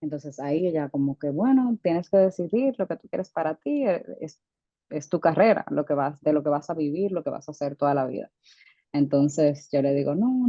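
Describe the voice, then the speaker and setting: A woman's voice: low voice, no room sound, dull audio. A woman in her thirties, a dentist guest in an interview, telling her story with frequent hesitations and restarts. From United States, Dominican parents. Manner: hesitant, many fillers and restarts. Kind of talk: conversational interview.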